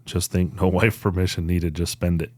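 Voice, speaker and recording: A man with a low, deep voice talks as a podcast co-host. He sounds informal and conversational, and the recording is dry and free of room echo. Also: level tone, normal speed, a little mellow. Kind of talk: casual podcast chat.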